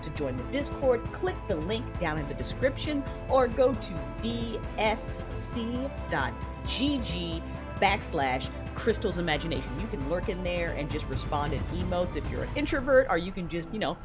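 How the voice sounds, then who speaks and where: A woman hosting an audio drama podcast, reading announcements and credits in a chatty, inviting way. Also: on the low side. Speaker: host and producer of an audio drama. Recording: music behind the voice.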